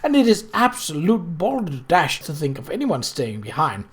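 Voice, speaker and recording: An imperious, effusive society lady from an Edwardian storybook, acted out by a reader, swooping widely in pitch. She is theatrical and bossy, with a keen relish for scandal. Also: huge pitch swings, moderate pace, husky. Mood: firm, bossy.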